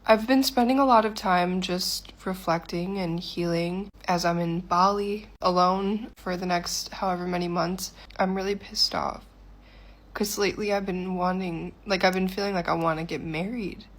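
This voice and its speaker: A woman speaking slowly and candidly in a reflective vlog confession. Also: fairly low pitch, fairly even intonation.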